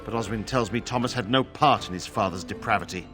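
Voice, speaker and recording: A man's voice: lowish voice, fast talker, fairly bright tone, faint music behind, close to an everyday voice. A middle-aged man playing a grateful, stern noble father in a fantasy game, speaking formally and solemnly. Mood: grave, grateful. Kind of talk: dramatic fantasy game acting.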